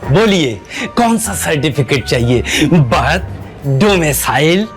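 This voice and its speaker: An actor playing a flamboyant, cheeky hustler of a court fixer who talks in rhyming verse in an audio drama. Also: male voice, a little high, very animated, takes it slowly, faint music behind, heavily acted. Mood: boastful, showy. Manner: theatrical, speaks in rhyming couplets. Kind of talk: Hindi audio drama acting, sing-song verse.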